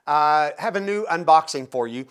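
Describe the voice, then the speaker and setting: A man's voice: a little high, lively intonation, normal speed. A man in his fifties talking casually and informally as he explains and demonstrates a product. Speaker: product reviewer, host. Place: indoor photo studio with backdrop.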